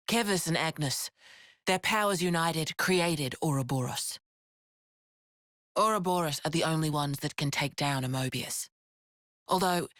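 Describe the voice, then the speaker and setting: An actress playing a frank, steady female resistance leader in a video game, with plain-spoken, measured storytelling. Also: low voice, no echo, clean studio sound. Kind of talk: video game cutscene acting. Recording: music behind the voice.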